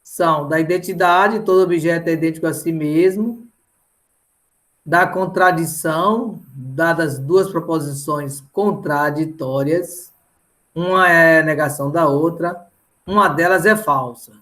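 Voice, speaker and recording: A man's voice: a little high, unhurried, fairly bright tone. A middle-aged Brazilian man lecturing didactically in an online lesson, often checking in with short tag questions. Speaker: mathematics teacher.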